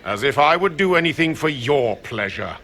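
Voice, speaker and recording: Forceful, haughty knight commander. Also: male voice, on the high side, expressive, normal speed. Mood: contemptuous. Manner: haughty, scornful, imperious. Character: arrogant, contemptuous male templar commander. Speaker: video game voice actor. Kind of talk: dramatic game dialogue.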